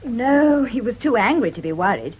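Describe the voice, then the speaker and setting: Casting: artless young British wife, high lilting voice, trusting yet anxious, radio play. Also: female voice, big pitch swings, moderate pace, husky, highs cut off. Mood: light, concerned. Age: young adult. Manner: girlish, artless. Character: naive, girlish young pregnant wife, trusting but anxious. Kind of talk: radio drama acting.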